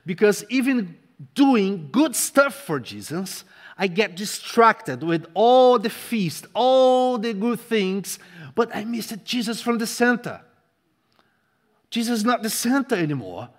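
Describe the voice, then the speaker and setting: Brief: male preacher, native Portuguese speaker, fervent, dynamic delivery, fairly high voice, long hall echo. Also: very animated, takes it slowly. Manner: impassioned, swings from loud to hushed, repeats words for emphasis. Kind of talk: sermon. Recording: long reverb of a large hall.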